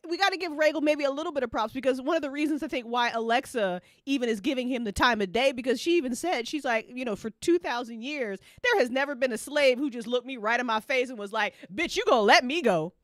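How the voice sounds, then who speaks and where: A woman hosting a casual fan chat about a story, talking in an animated, slangy, freely swearing way and often breaking into laughter. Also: high-pitched, brisk, dry recording, studio quality. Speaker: host of an audio fiction after-show.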